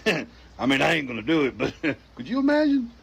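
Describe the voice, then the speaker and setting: A man's voice: a little high, huge pitch swings, moderate pace, creaky, breathy edge. A man chatting casually in a joking way. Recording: noisy casual clip.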